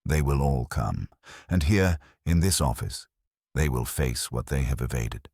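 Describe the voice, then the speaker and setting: A man's voice: a bass voice, unhurried, creaky, husky, no echo, clean studio sound, full character voice. A man playing a stern, calculating older detective with a heavy voice and formal, commanding delivery in a mystery story. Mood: grave, commanding. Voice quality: heavy, weighty. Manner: formal, flat, commanding pronouncements. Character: stern, calculating older male detective genius, imperious and unhurried.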